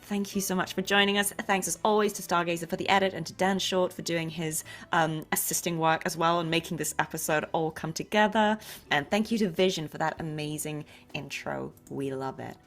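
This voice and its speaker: A woman's voice: fairly low pitch, fairly even intonation, fairly quick, fairly bright tone. A woman hosting a casual interview in an informal, gushing manner. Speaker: interview show host.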